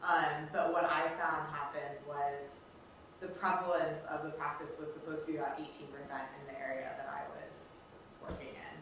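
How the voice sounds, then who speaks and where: A woman's voice: deep voice, level tone, normal speed, a bit bright. A young woman with an American accent giving an informal student research presentation in a hesitant, rambling, casual way. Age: young adult. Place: reverberant indoor room, recorded from afar. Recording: very echoey room, distant muffled mic, noisy.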